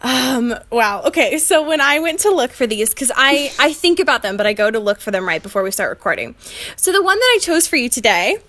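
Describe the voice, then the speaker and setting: High, bright young woman, giggly podcast host. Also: sing-song, a little fast. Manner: giggly, breaks into laughter while talking. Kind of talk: casual comedic chat, reading fan fiction aloud.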